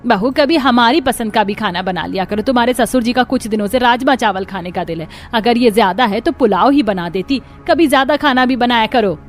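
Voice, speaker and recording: A woman's voice: a little high, fairly quick, few pauses, lightly acted. A woman voicing a complaining, scolding mother-in-law in a cartoon family story. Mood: aggrieved. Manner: scolding, aggrieved.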